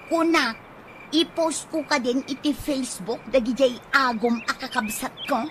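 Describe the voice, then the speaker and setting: A woman with an Ilocano accent playing a bossy, nagging wife with a sharp tongue in a radio comedy drama. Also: sits high, normal speed. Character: bossy, scolding wife stirring up an inheritance feud. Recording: noisy ambience with chirping birds.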